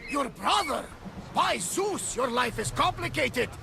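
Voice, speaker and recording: An actor playing a boisterous, devout old ship captain who talks loudly and exuberantly in a game cutscene, with crowd sounds behind him. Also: male voice, extremely high pitch, very animated, slow pace, creaky, background noise, full character voice. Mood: astonished. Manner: loud, exuberant, swears by the gods.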